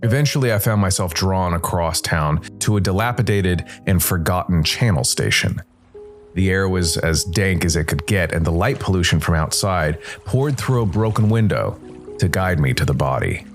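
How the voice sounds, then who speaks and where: A man voicing a deep, brooding noir jazzman detective. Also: lively intonation, moderate pace, soft background music. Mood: grim, wry. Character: brooding jazz musician turned supernatural death investigator. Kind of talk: first-person noir narration.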